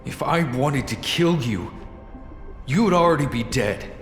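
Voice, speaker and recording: An actor playing a tough, wary, pragmatic armed operative in a video game, speaking in a measured, hard-edged and persuasive way. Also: male voice, medium pitch, lively intonation, natural voice. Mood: grim, forceful. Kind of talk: video game cutscene acting. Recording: echoey hall with long reverb, music behind.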